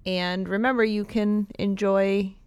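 A woman's voice: mid-range voice, steady tone, a little slow, darkish tone, smooth, no room sound. A woman with a Buffalo, New York accent and a nasal voice, co-hosting a casual podcast chat with sarcastic asides and frequent laughter. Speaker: podcast co-host.

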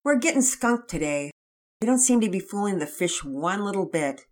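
Lady putting on a jolly excited dad voice, bouncy, storybook. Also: medium pitch, normal speed, close to an everyday voice. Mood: jovial. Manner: jovial, excited. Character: cheerful, excitable dad, Daddy Peters.

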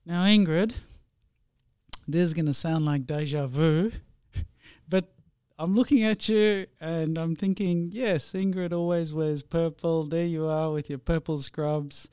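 A man's voice: fairly high pitch, steady tone, takes it slowly, mellow, clear, resonant tone, no room sound, clean studio sound. A man originally from India who hosts the interview, chatting in a friendly, rambling way with many fillers. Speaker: host.

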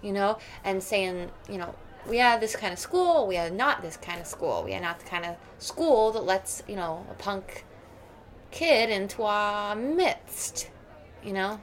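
A woman's voice: mid-range voice, very animated, measured pace, a little hiss or hum. A woman playing a snobbish, judgmental wealthy school mother in an improvised radio play, rambling on with boastful opinions. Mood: indignant, opinionated.

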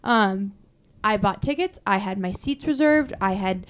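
Young female co-host, opinionated and animated, chatty and rambling. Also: mid-range voice, a little slow, slight background noise. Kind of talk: casual chat.

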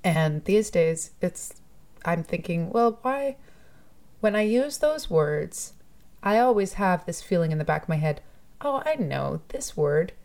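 A woman from Toronto talks through her ideas in a relaxed interview. Her voice sits fairly low, and she muses aloud in a chatty, thoughtful way, with easy laughs breaking in now and then. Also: unhurried. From Toronto, Canada. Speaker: musician and guest. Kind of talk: casual podcast interview.

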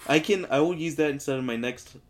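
A man's voice: on the high side, fast talker. A man hosting a podcast in casual chat, upbeat and laughing easily. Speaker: podcast host.

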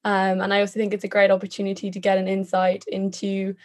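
Even-toned young woman from Ireland. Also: medium pitch, fast talker. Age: young adult. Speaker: student podcast guest. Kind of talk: casual, thoughtful chat.

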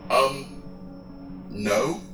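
A man's voice: medium pitch, expressive. Distant, hesitant, worried young man. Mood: concerned, hesitant. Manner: hesitant, halting. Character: worried young male passer-by, awkward but determined. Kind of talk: radio drama dialogue. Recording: roomy, distant from mic, music behind.

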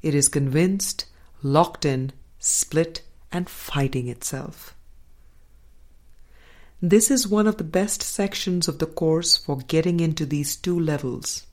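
A woman reads a spiritual book aloud in an unhurried, deliberate way, stopping to comment between passages. Her voice sits low, and her phrases often fade to a soft, quiet close. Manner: slow, deliberate, soft-spoken with quiet trailing endings. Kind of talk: measured audiobook-style reading with commentary.

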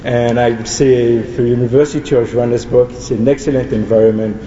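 A young man from Ivory Coast speaks, formal and earnest, in a mellow, darker tone. He sounds far from the mic in a large hall with a long reverb tail. The sound is dull, with a faint background hum. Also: mid-range voice, fairly even intonation. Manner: earnest, measured, with small restarts. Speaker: student speaker. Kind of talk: formal welcome speech.

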